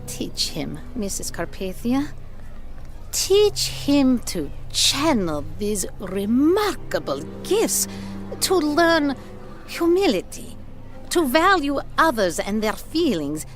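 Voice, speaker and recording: An actress playing a concerned, caring schoolteacher in an audio drama, speaking in a measured, earnest way. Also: female voice, sits high, sing-song, exaggerated voice. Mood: earnest pleading. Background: background music.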